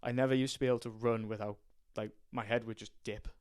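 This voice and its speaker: A man's voice: medium pitch, flat delivery, quick pace, clean tone. A young man from County Durham, a podcast guest talking about his background in a hesitant way, with many ums and restarts. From County Durham, England. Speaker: strength and conditioning coach, guest. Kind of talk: casual podcast interview.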